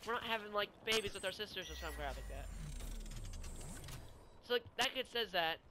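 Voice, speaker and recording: A young man talks over a video game in a casual, rambling way. His voice sits a little high for a man. The recording is clearly noisy, with game sound behind him. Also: lively intonation, normal speed. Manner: rambling, informal. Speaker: gaming video creator. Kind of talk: casual storytelling and chat over gameplay.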